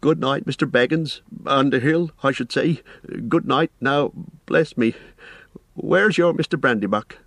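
A man's voice: medium pitch, unhurried, a bit bright, dry recording, studio quality, voice pushed up. A man voicing a fussy, kindly, rustic innkeeper who chatters and exclaims in an audiobook. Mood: bustling, fussy. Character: fussy, flustered, kindly fat male innkeeper. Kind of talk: audiobook character voice.

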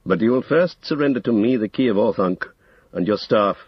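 A man's voice: lowish voice, lively intonation, a little fast, breathy edge, no echo, highs cut off. A man voicing a stern, weary old male wizard who speaks with commanding authority in an audiobook story. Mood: grave, firm.

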